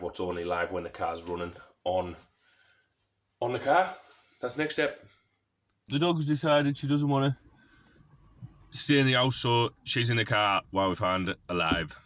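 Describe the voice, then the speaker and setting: A man giving a casual, self-deprecating hands-on demonstration, thinking aloud in an informal way as he works in a car. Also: lowish voice. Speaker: car DIY video host. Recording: clear background noise.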